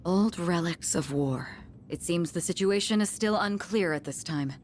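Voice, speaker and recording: Lowish, commanding woman played by an actress. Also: moderate pace, soft background music, lightly acted. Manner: formal, authoritative. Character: commanding, composed young female team leader. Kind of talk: video game voice acting.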